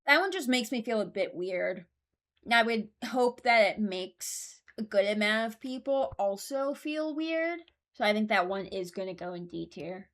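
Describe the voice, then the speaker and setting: A woman's voice: medium pitch, expressive, moderate pace, a bit bright. A woman giving casual commentary, thinking aloud in a hesitant, deliberating way and often correcting herself. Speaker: host ranking game voice lines.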